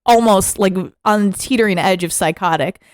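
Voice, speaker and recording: A woman with a smooth, clear voice co-hosts a podcast in casual chat. She rambles through retellings, swears, and acts out the lines she quotes. The recording is dry and close, with no room sound. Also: mid-range voice, normal speed, no echo. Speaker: podcast co-host.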